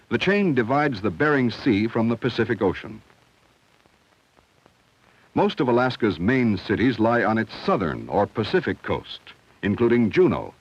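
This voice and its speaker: Low-pitched male narrator, vintage school film. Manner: measured, instructional, clearly enunciated. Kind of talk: scripted documentary narration, 1970 school film. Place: studio voice-over. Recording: dull, dry vintage film soundtrack.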